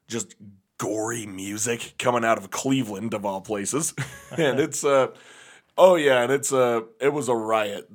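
A young man with a slightly raspy voice chatting casually as a podcast host, laughing often and rambling through fillers. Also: mid-range voice. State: slightly raspy voice after a gig. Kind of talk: casual chat.

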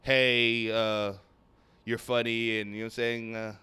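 A man from Nigeria, a comedian telling stories in a rambling, animated way during a casual podcast chat. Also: mid-range voice, measured pace, clean tone, dry recording. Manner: rambling, animated storytelling with quoted voices. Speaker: comedian guest.

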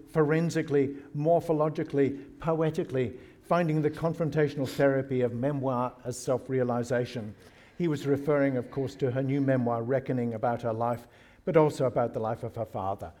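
A man's voice: mid-range voice, steady tone, moderate pace, hardly any pauses, dark tone. A man of Scottish descent hosting a talk, introducing his guest and then asking her questions, with light laughs. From Scotland. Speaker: host.

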